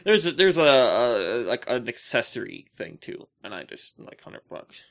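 A man co-hosting a casual podcast, rambling in a joking, animated, mock-indignant way. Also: medium pitch, a little slow, vocal fry. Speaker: podcast co-host.

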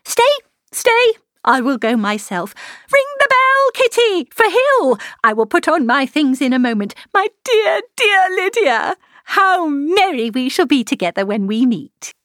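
A woman voicing an excitable, silly middle-aged mother in an audiobook, gushing and fussing in exclamations. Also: mid-range voice, moderate pace, no echo, clean studio sound. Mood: excited, fluttery. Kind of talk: audiobook character voice.

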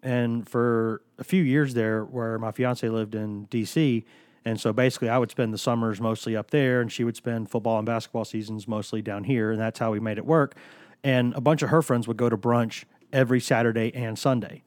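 A man's voice: medium pitch, steady tone, a little fast, darkish tone, no echo. A man hosting a casual sports podcast from a studio, talking while he has a cold, rambling and joking as he tells stories. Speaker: podcast host.

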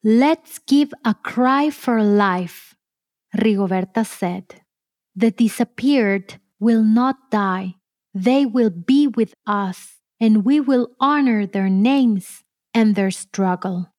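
A woman narrating a scripted biographical story for children in a measured, expressive way. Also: mid-range voice, no room sound, clean studio sound. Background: music bed.

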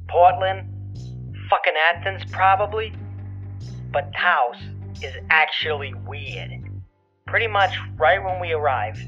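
High-voiced, deadpan male storyteller. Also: exaggerated voice. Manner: deadpan, profane, wry. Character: wry, crude young male storyteller on a trip. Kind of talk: audio drama monologue. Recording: muffled lo-fi, music behind.

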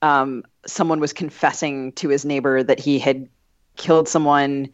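A woman's voice: extremely low pitch, fairly even intonation, normal speed, no room sound, dull audio. A woman co-hosting a podcast, telling an anecdote conversationally in a reflective way with careful wording. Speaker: podcast co-host.

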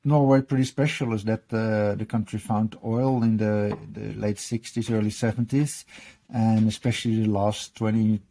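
Gravelly-voiced man. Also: on the low side, steady tone, dark tone. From Netherlands. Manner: halting, often repeats words and restarts. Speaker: guest, e-health journalist. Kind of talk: casual interview chat.

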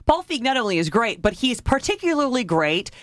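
A woman's voice: fairly high pitch, big pitch swings, fairly quick, dry recording. A woman co-hosting a film review show, chatting casually and emphatically about movies, often repeating words for stress. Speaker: film review podcast co-host. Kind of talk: casual conversational movie criticism.

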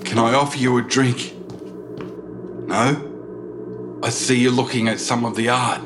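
An actor in an audio drama playing a frightened, wealthy lawyer who stammers, rambles and pleads. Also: male voice, medium pitch, fairly even intonation, unhurried, noisy background, close to an everyday voice. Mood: nervous placating.

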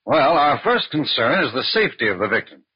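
A firm, businesslike FBI chief in an old radio play. His voice is very husky, mid-pitched and animated. The recording is dull and dry. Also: fairly quick, dry recording. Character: brisk, authoritative male FBI agent in charge. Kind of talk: 1940s radio crime drama acting.

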